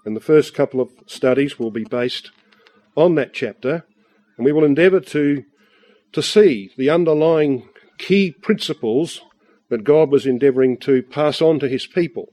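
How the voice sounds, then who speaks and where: A man with a husky, congested voice from the flu, giving an earnest, measured Bible study talk with frequent fillers. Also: medium pitch, mellow. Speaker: visiting Bible study speaker.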